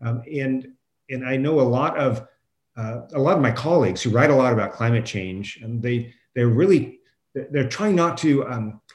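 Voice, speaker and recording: A man's voice: mid-range voice, expressive, normal speed. A man speaking as an expert guest in an unscripted interview, explaining his points with emphasis. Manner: explanatory, emphatic, quotes imagined objectors. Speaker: guest professor and climate scientist. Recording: roomy, echoing sound.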